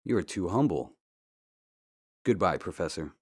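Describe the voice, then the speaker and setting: An actor playing a polite, earnest amateur investigator in an adventure game, speaking in a courteous, measured way. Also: male voice, low voice, expressive, a little mellow, breathy edge, exaggerated voice. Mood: polite, curious. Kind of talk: scripted point-and-click game dialogue. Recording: music under the dialogue. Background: background music.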